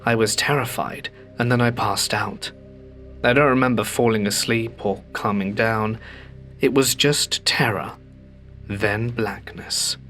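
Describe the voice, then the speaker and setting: A man hosting a ghost story channel, reading submitted stories aloud in a calm, measured way with slowed dramatic pauses. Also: fairly low pitch, soft background music.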